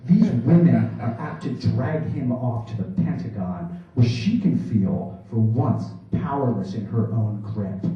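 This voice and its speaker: A man giving a theatrical live poetry reading on stage, with a mock-serious, declamatory delivery. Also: medium pitch. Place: small theater in New York, before a laughing audience. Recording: echoey hall, distant dull microphone.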